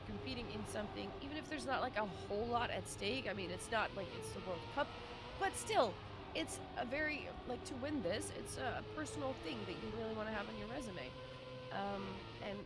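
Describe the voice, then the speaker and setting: A woman giving live, conversational esports match commentary, often pausing mid-sentence as she follows the play. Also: fairly high pitch, animated, loud music behind the voice. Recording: clear background noise.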